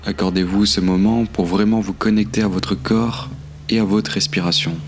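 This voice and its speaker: A man leading a guided meditation in a calm, soothing, measured delivery with long pauses. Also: on the low side, a little hiss or hum. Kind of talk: slow guided meditation narration. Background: meditation music.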